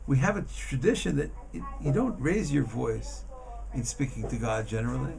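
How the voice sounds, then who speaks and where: A man giving an informal Torah class as a rabbi, in a halting, emphatic manner that often repeats words. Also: mid-range voice, big pitch swings, vocal fry, darkish tone. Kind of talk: informal religious lesson.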